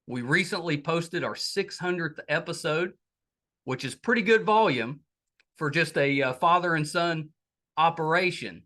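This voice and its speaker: A man's voice: fairly high pitch, measured pace, pushed and bright. A middle-aged American man speaking as a podcast host, casually and earnestly thanking and appealing to his audience in a chatty way. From United States.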